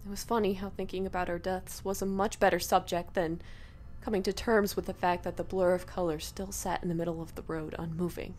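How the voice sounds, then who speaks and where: A woman reading a first-person horror story in a dramatic, emotive, breathy delivery. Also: fairly low pitch, level tone, a little fast, a little mellow, a little hiss or hum.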